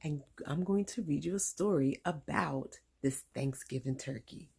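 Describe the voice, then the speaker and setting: A woman reading a children's story aloud as a storytime teacher, expressive and deliberate. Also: sits low, gravelly, breathy edge.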